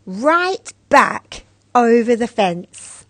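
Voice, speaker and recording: A woman reading a children's story aloud as an expressive narrator. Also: a little high, drawn-out words, no room sound. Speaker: storybook reader.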